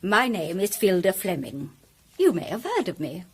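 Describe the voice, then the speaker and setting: An actress playing a brisk, confident, forward woman playwright in a radio drama, direct and self-assured. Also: medium pitch, wildly swooping pitch, slightly rough, heavily acted. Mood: brisk, insistent.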